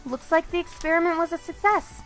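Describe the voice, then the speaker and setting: A woman's voice: very high voice, fairly quick, a bit bright, soft background music. An actress playing a bookish, sensible teenage girl with a dry, easily exasperated manner in an audio drama. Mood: interested. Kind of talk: naturalistic audio drama dialogue.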